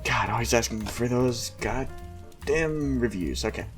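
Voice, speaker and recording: A man's voice: medium pitch, unhurried, soft background music. A man doing a casual, mocking read-aloud with exasperated, sweary asides. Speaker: host reading a fan fiction aloud with commentary.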